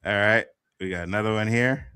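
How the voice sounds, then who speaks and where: Joking male host, bright tone, dry mic. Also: lowish voice, a little fast, clean tone, dry recording. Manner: loose, swearing, joking. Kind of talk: casual podcast chat.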